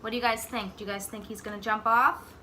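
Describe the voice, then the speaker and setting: A woman reading a picture book aloud like a teacher, expressively, pausing to put simple questions to young children. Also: a little high, steady tone, background noise. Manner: pauses to ask simple questions and echo the answers.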